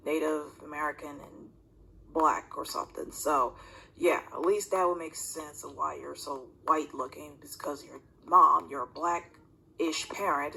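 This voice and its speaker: A video commentator talking casually and bluntly in a rambling, self-interrupting way, with a husky, creaky voice, recorded on a phone. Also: mid-range voice, bright, forceful tone, poor audio.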